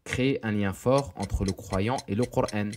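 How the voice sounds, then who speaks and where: A man narrating a scripted educational quiz, reading questions and answers in a deliberate, didactic way. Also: lowish voice, darkish tone, a little hiss or hum.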